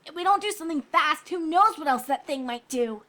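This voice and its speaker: Lady doing a plucky little filly from a pony story, high sharp voice. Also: expressive, brisk. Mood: urgent. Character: plucky, teasing young filly pony, Scootaloo.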